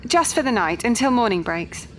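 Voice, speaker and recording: A woman playing a kind, pious working woman in a Victorian audio drama, speaking gently, earnestly and properly. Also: fairly high pitch, animated, moderate pace, slight background noise, close to an everyday voice.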